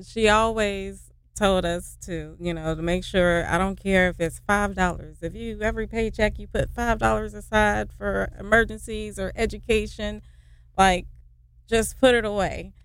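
A woman's voice: mid-range voice, steady tone, a little slow, a bit bright, clean tone. A woman who founded a nonprofit, speaking as a radio guest in a hesitant, earnest way with many fillers. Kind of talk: casual radio interview.

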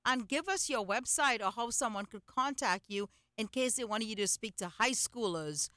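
A woman's voice: a little high, animated, moderate pace, sharp tone, dry recording, clean studio sound. A woman hosting a conversational talk show interview, jumping in with urgent interjections and questions.

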